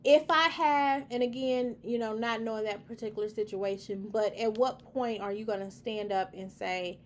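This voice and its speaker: Emphatic woman lecturing, high voice. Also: normal speed. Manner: emphatic, repetitive, preachy lecturing. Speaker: commentary host. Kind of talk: opinion monologue to camera.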